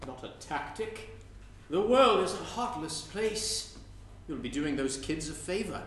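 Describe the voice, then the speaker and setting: A high, lively male actor's voice as a weary, gloomy retired spy in a stage comedy. He sounds earnest yet sardonic and exasperated, recorded live in an echoey room. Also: a little slow. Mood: resigned, bleak. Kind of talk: live staged reading of comic play. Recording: roomy live recording, faint noise.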